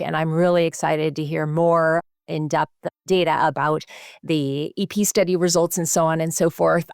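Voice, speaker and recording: A woman's voice: sits low, vocal fry, no room sound, studio quality. A woman hosting a medical podcast, putting questions to guests in a conversational way. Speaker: podcast host, interviewer.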